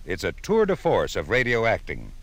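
Lowish, lively male radio host. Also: normal speed. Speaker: narrator and host. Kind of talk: wry reminiscing radio narration.

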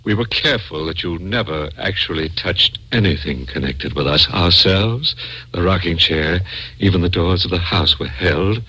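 Casting: controlling, coolly menacing inventor for old radio serial, deep and hard-edged with rough rasp. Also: male voice, moderate pace, bright, forceful tone, no room sound, full character voice, rough recording. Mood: explaining calmly. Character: sinister, controlling male doctor and inventor. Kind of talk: old-time radio drama acting. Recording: old-time radio recording with music behind.

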